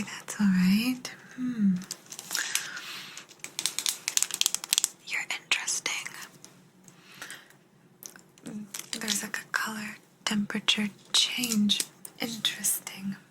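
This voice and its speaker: A woman playing a soothing, softly bossy alien abductor in a hushed, breathy ASMR whisper roleplay. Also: mid-range voice, background noise, lightly acted. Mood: calm, clinical, attentive. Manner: slow, soothing, hushed, matter-of-fact.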